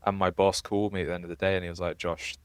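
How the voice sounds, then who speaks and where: A man's voice: deep voice, little pitch movement, racing, smooth, no room sound. A young man co-hosting a podcast, talking in a casual, conversational way. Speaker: podcast co-host. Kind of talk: casual chat.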